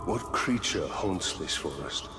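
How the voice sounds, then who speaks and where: An actor playing a grim, resolute ranger captain in a video game, stern and terse. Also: male voice, low voice, expressive, measured pace. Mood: wary, grim. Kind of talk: video game character acting. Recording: loud cinematic music behind the voice.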